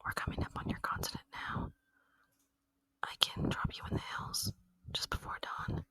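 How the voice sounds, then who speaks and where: A woman voicing a gentle, lonely female alien in an ASMR roleplay, whispering tenderly and intimately in a breathy voice, close to the microphone. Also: dry recording, lightly acted. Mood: soft, reassuring. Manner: slow, tender, intimate, earnest. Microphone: close microphone, dry.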